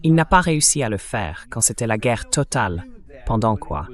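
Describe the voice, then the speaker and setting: A man's voice: fairly low pitch, very animated, normal speed. A man with a synthetic-sounding voice hosting a conversational political interview, questioning in an incredulous way. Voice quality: AI-generated translation voice. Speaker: interview host.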